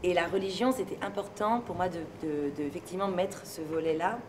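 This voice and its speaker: A woman from France being interviewed, speaking in a relaxed, thoughtful and hesitant way and laughing easily. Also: lowish voice, a little fast. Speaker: interviewee, singer and stage performer. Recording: noisy location recording with ambient sound.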